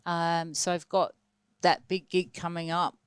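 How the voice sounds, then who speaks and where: A woman's voice: on the low side, little pitch movement, a little slow, clean tone, no echo, studio quality. An Australian woman chatting enthusiastically as a singer and actress guest on a radio talk show. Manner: chatty, enthusiastic, lots of fillers. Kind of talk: casual interview chat. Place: radio studio.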